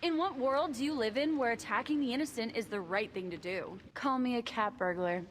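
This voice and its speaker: A woman's voice: a little high, fairly quick, a little hiss or hum, lightly acted. An actress playing a dry, indignant young catgirl fighter in a video game, in anime-style delivery. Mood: dry, defiant.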